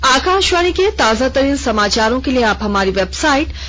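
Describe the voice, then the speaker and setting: A woman newsreader reading a formal regional radio news bulletin in a measured, even way. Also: high-pitched. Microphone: studio microphone. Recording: dull lo-fi radio broadcast.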